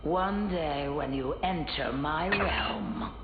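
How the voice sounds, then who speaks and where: A woman's voice: sits low, slow, narrow, tinny audio, music in the background. A woman voicing an imperious death goddess in a video game, haughty and menacing. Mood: haughty menace.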